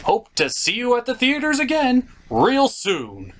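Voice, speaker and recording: A man's voice: extremely high pitch, wildly swooping pitch, a little slow, a bit bright. A man delivering a scripted review as host, in a theatrical, emphatic way. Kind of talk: scripted review commentary with puppet-show skits.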